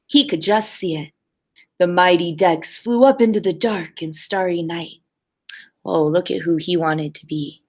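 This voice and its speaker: A woman's voice: on the low side, unhurried, a little mellow. A woman kindergarten teacher reading a picture book aloud expressively for young children, with simple explanatory asides.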